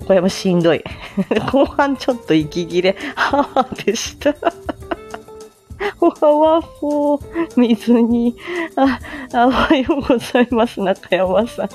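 A woman hosting a casual morning stream, chatty and quick to giggle as she talks to her listeners. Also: fairly high pitch, darkish tone. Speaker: online audio show host and voice performer. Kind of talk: casual morning livestream chat.

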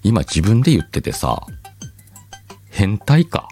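A man hosting a podcast alone, chatting casually in a rambling, self-mocking way with drawn-out pauses. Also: fairly low pitch, wildly swooping pitch, dark tone, soft background music. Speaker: podcast host. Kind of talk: casual solo chat.

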